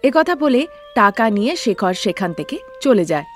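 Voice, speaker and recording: A woman's voice: mid-range voice, big pitch swings, moderate pace, soft background music. A woman narrator telling a cartoon story in a scripted storytelling style.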